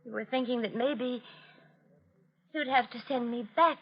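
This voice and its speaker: A woman's voice: on the high side, a little slow, husky. A woman acting a beautiful, insane young murderess, childlike and volatile, in an old radio thriller. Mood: brooding, accusing. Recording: dull, noisy old radio recording.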